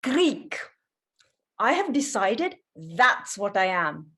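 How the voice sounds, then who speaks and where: A woman's voice: mid-range voice, big pitch swings, slow pace, bright, forceful tone, breathy edge, full character voice. A woman playing a theatrical, whimsical and defensive mother in her fifties at a screenplay table read.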